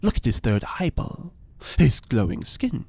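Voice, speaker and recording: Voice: medium pitch, very animated, unhurried, a little mellow, breathy edge, no room sound, exaggerated voice. An actor playing an eccentric, pompous mad scientist and cult-style ritual leader in a podcast drama, speaking in a grandiose, pedantic way. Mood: matter-of-fact, eager. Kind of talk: audio fiction podcast acting.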